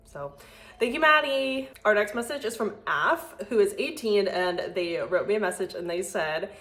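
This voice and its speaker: A woman's voice: mid-range voice, expressive, moderate pace, fairly bright tone. Young American woman, roomy echoing sound. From United States. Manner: informal, peppered with "like". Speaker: video host reading listener messages. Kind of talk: casual chat to camera.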